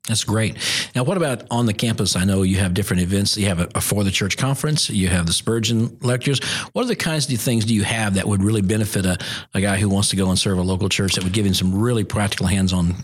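Interviewer, fifties, husky low voice, friendly and affirming. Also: male voice, quick pace. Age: 50s.

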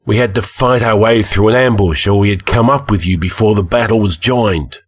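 A man voicing a gruff, exasperated but loyal Norse warrior chieftain in a fantasy audiobook. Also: fairly low pitch, moderate pace. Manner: grunting, gruff.

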